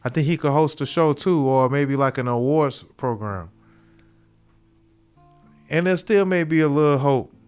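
A laid-back man in his thirties hosting a podcast into a studio mic, his voice smooth and a bit high. He muses casually and chuckles at his own jokes, with faint music behind him. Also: a little slow, pauses often, a little mellow. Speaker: podcast host. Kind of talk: casual commentary. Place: small home studio. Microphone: studio mic with headphones.

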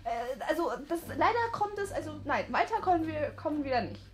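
A woman in her twenties improvising casually, halting and repeating her phrases. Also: high voice, lively intonation. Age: 20s. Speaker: date participant in a comedy video. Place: indoor studio room at a table.

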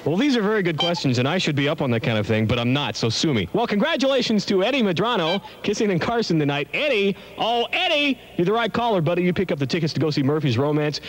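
A man's voice: high-pitched, big pitch swings, fast talker, rough recording. An American man in his thirties working as a radio DJ, with playful, wry on-air patter and caller banter. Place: small radio studio. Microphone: boom studio microphone, close. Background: music.

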